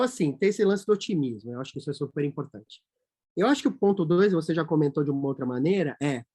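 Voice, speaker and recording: A man from Brazil, a company CEO, talking as a guest in a casual interview, animated and acting out quoted voices. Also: on the high side.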